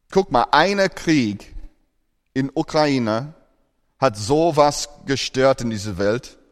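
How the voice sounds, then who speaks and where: A man's voice: medium pitch, in a large hall. A man born in Australia preaching a sermon in German, earnest, with grammar slips.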